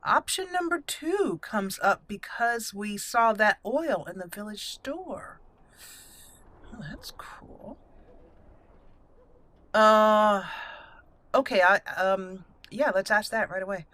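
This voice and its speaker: A woman's voice: medium pitch, animated, slow pace, long pauses, fairly bright tone. A woman hosting a roleplay playthrough, giving casual, chatty commentary and thinking aloud.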